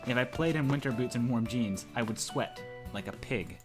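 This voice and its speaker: A man's voice: medium pitch, normal speed, over music. A man playfully narrating a visual novel aloud for a gaming video.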